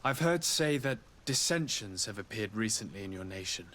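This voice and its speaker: A man playing a polite young nobleman and diplomat in a fantasy video game, speaking in a measured, formal way. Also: fairly low pitch, expressive, fairly bright tone. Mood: curious.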